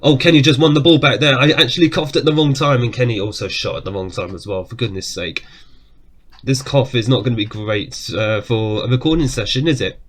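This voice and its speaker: A man with a cough talks his way through a football management game from his desk. His voice sits at a middle pitch, and his commentary is casual and chatty, with quick reactions to saves and shots. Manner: chatty, reacts aloud to match events. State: has a cough. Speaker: gaming YouTuber.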